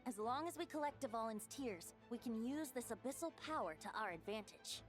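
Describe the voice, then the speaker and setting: A young traveling adventurer voiced by a woman speaks in a high, calm voice. The delivery is reassuring and practical, as in a fantasy game, with light music behind. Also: a little fast, natural voice. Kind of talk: video game character acting.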